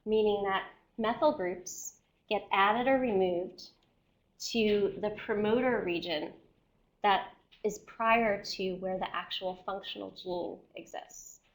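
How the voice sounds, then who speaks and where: Woman scientist explaining research at a seminar, voice going hoarse, keeps clearing her throat, mid pitch. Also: slow pace, poor audio. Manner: explanatory, often checks in with "right?". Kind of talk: academic seminar lecture.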